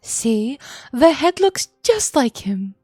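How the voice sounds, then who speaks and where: A woman's voice: sits high, big pitch swings, slow pace, a little mellow, slightly rough, no room sound, soft background music, voice pushed up, full character voice. A woman voicing a cheerful, bossy and unhinged mother with an overly chirpy, sing-song delivery in a visual novel.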